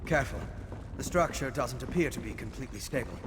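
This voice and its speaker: An actor playing a cautious, scholarly gentleman adventurer in a video game, polite, measured and articulate. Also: mid-range voice, natural voice. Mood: cautious, dry. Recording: long cavernous reverb, faint background noise.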